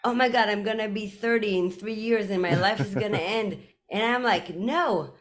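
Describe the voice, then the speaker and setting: A woman guest on a podcast, telling stories in a casual, animated way with many fillers. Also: mid-range voice, normal speed. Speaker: podcast guest, community CEO. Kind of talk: casual conversational interview.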